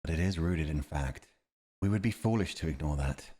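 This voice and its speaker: A man's voice: rumbling low, moderate pace, mellow, breathy edge. A man acting a principled, earnest army commander advising a monarch in a fantasy audio roleplay, speaking in a formal, emphatic, righteous way. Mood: firm.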